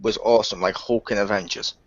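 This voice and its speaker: A man's voice: fairly low pitch, steady tone, brisk, no room sound. Joking man cursing casually. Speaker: cast member. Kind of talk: casual chat. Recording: dull lo-fi audio.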